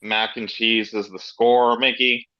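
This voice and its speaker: A man's voice: on the low side, flat delivery, unhurried, bright, forceful tone, no echo. A man reading the part of a brash, foul-mouthed grown man with crude, swaggering banter in an amateur table read. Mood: mocking. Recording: slightly dull lo-fi.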